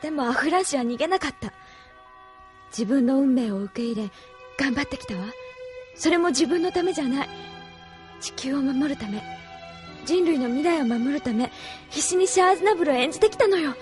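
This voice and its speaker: A high-voiced, outspoken young fighter. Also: female voice, animated, fairly quick, pauses often, faint music behind. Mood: reproachful. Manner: impassioned, reproachful scolding. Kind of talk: 1990s anime audio drama acting.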